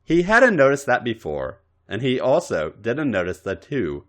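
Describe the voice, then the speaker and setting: A man reads fiction aloud as a podcast narrator. His delivery is dramatic and comic, with extremely animated, wide-ranging intonation. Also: mid-range voice, measured pace. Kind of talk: dramatic comic reading of erotic fan fiction.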